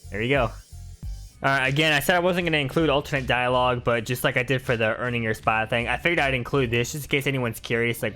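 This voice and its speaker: Casual mid-pitched man commenting on a game. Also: sharp tone, smooth. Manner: informal, chatty, explanatory.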